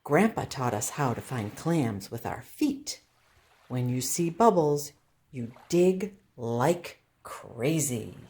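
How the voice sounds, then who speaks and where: Slow, low-voiced woman reading a storybook. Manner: deliberate, expressive phrasing for young children. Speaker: children's storytime reader and teacher. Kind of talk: read-aloud of a children's picture book.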